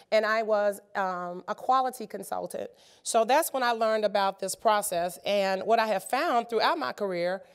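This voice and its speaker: A woman in her fifties leads a workshop at a conference, her smooth, clear voice slightly roughened by a sinus cold. She speaks to the room in an encouraging way and fills her pauses with ums and uhs. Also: medium pitch. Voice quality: many filler ums and uhs. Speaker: workshop presenter.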